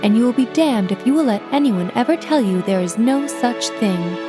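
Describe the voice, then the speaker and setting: An actress playing a flirtatious, dreamy 1950s telephone operator who narrates stories in a poetic style. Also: female voice, a little high, animated, normal speed, lightly acted. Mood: dreamy, wistful. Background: music.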